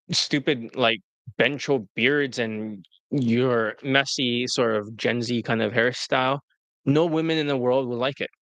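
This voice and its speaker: A man's voice: a bit bright, no echo. Brash, profane male dating coach. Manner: brash, profane, mocks students in high-pitched quoted whines. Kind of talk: blunt promotional coaching talk.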